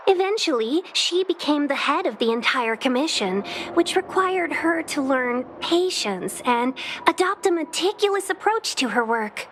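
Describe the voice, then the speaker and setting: High-voiced, courteous young envoy. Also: female voice, fairly bright tone, slight background noise, heavily acted, poor audio. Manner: polite, formal, smoothly hospitable. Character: courteous, poised young female envoy of a trade commission. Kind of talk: video game character dialogue.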